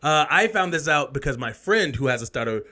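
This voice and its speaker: A man guesting on a podcast as a comedian, his voice sitting a bit high and sounding bright and forceful. He keeps things upbeat and laughs a lot, tossing in quick asides. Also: sing-song. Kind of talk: casual chat.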